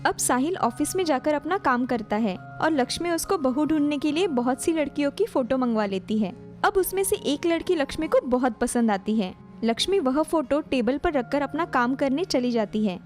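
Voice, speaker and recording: A woman narrates a cartoon family story in a high-pitched voice. She reads from a script as a storyteller, with soft music underneath. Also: lively intonation, normal speed, hardly any pauses.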